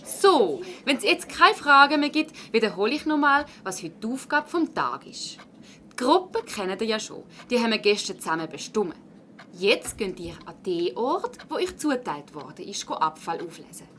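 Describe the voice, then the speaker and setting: A woman playing a brisk, encouraging primary school teacher in a children's radio play, instructing a class in Swiss German. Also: on the high side, very animated, pushed and bright, a little hiss or hum. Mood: brisk, instructive. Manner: instructive, orderly, addressing a class.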